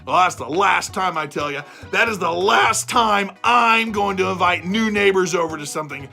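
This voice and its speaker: A storyteller plays an aggrieved big bad wolf in a children's storybook tale. The voice is bright and forceful, expressive and full of exasperated repetition as he insists he meant well. Music plays along with it. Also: medium pitch, moderate pace, creaky. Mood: aggrieved, explaining. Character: aggrieved, self-justifying big bad wolf, telling his side. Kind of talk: animated first-person storytelling for children.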